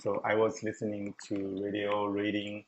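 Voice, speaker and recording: A man's voice: on the low side, monotone, moderate pace, background noise. A middle-aged man from China with a Chinese accent, chatting casually about his life and laughing often. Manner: chatty, often breaks into laughter mid-sentence. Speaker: show host telling his story. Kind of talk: casual conversation.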